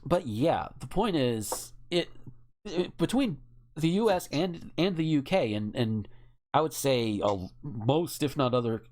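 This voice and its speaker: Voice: mid-range voice, expressive, unhurried, a little mellow. An American speaker in casual, opinionated political chat, speaking emphatically and swearing freely. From United States. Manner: emphatic, profane, outspoken. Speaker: podcast co-host.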